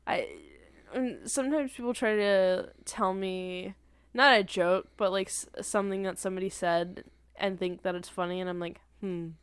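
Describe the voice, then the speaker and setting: Young woman podcast co-host, giggly, slightly nasal, smooth dry voice. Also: mid-range voice, steady tone, moderate pace, no echo. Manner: giggly, laughs often. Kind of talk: casual chat.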